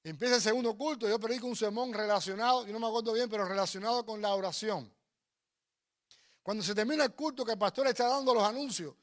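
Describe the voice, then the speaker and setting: A man's voice: extremely high pitch, fairly bright tone. A man preaching and telling personal stories in an emphatic, exhorting way, repeating phrases. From Cuba. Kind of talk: sermon with personal storytelling.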